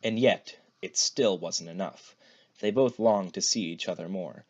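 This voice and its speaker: A man reading fan fiction aloud as an expressive narrated story. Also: low-pitched, measured pace, lo-fi sound. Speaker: fan fiction podfic reader.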